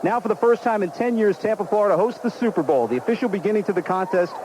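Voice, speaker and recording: A man announcing a pregame football broadcast on the radio, running through trivia in a brisk, fact-filled way. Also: high-pitched, lively intonation, background noise.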